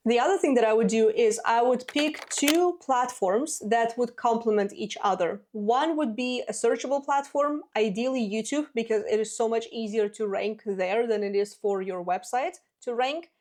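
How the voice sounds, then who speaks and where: A woman's voice: on the high side, normal speed, few pauses, darkish tone. A woman in her twenties whose first language is Russian, hosting a solo business advice show in a direct, practical way. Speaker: business podcast host.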